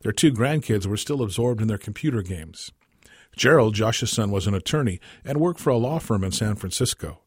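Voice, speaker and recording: Male narrator for a short story, low husky voice, dry recording. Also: animated, moderate pace, dry recording. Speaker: story reader.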